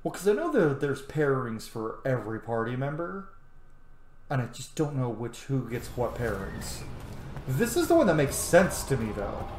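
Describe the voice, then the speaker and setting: Male game streamer, chatty and off-the-cuff, thinking aloud, mid pitch, roomy echo with faint noise. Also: sing-song, normal speed, darkish tone. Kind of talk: casual gameplay commentary.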